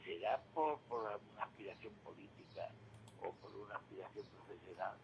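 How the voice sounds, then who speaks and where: An older man giving a reflective radio monologue, halting and repeating words, with rhetorical questions. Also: on the low side, dull audio. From Spain. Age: 60s or older. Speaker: retired regular contributor giving commentary. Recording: clearly noisy radio feed.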